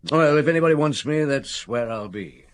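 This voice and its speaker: An actor playing a patient police chief inspector in a radio drama, asking measured, steady questions. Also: male voice, fairly high pitch, lightly acted. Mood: probing. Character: calm, probing male police chief inspector.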